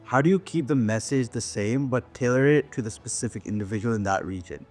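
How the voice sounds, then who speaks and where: Male host, quick delivery, affirming, faint music behind. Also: mid-range voice, a little mellow. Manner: brisk, affirming. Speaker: podcast host. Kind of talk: podcast interview.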